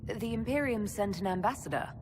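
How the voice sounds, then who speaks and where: A woman playing a composed, gently wry leader in a fantasy video game, direct and polite. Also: medium pitch, animated, brisk, natural voice. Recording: clear background ambient noise.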